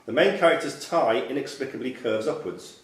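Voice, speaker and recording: A man's voice: mid-range voice, brisk. A man reading quiz questions aloud as a quizmaster in a club room. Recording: echoey club room with background noise.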